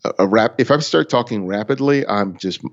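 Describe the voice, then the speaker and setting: A man with a fairly low voice talks through a casual podcast conversation. He takes his time, choosing a slow and deliberate pace. His audio is dull and lo-fi, as if the top end were missing.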